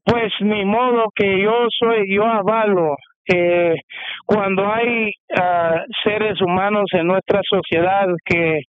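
High-voiced man, slow halting testimony. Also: steady tone, smooth, dry recording. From United States. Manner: halting, many fillers and restarts. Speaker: interview guest. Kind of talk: testimony interview. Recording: dull muffled radio sound.

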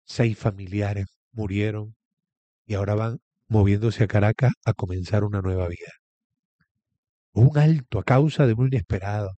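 A man's voice: on the low side, normal speed, mellow, no room sound, dull audio. A man with a Venezuelan accent giving a reflective religious talk as a guest, repeating key phrases for emphasis.

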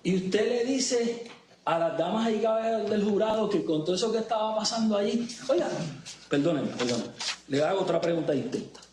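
A man's voice: high-pitched, expressive, brisk, a little mellow. Male lawyer pressing a witness. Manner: pressing, leading questions. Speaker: defense lawyer cross-examining. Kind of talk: courtroom cross-examination. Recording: distant courtroom mic, echoey, crowd noise.

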